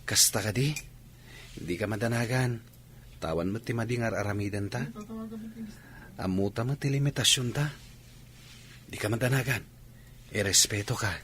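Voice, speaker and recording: A man's voice: lowish voice, normal speed, long pauses, a bit bright, a little hiss or hum, natural voice. A male actor with an Ilocano accent playing a persistent young student suitor in a radio drama. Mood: reassuring.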